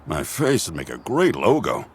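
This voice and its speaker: A man voicing a cheeky, self-promoting bear in a motion comic, husky and wry like a cocky salesman. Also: low-pitched, huge pitch swings, moderate pace, a little hiss or hum. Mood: smug, joking.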